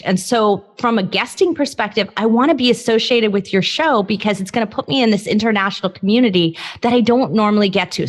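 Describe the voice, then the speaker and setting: A woman's voice: mid-range voice, big-room sound. A woman hosting a podcast interview, enthusiastic and affirming toward her guest. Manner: enthusiastic, affirming, quick to laugh. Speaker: podcast host.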